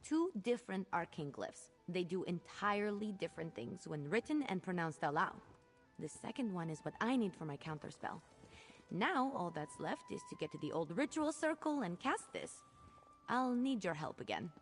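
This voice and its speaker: Video game companion: eager young female mage, cheeky, animated delivery, music underneath. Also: fairly low pitch, normal speed. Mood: upbeat, explaining. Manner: playful, expressive, chatty. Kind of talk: video game dialogue acting.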